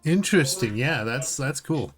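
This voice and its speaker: A man's voice: mid-range voice, lively intonation, normal speed, faint music behind. A man in casual chat as a podcast co-host, easygoing and agreeable.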